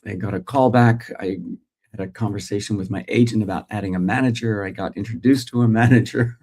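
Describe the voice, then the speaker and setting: A middle-aged Australian man hosting a casual morning livestream, thinking aloud with pauses and restarts while greeting his viewers. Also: on the low side, fairly even intonation, a little mellow. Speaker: host.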